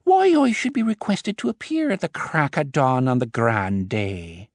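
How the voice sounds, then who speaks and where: A man's voice: medium pitch, very animated, unhurried, creaky, dry recording, studio quality, pitched above the performer's own voice. A man voicing a wry secretary who puts on a playful stage Irish accent. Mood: mock-Irish teasing. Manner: mock-lilting, playful.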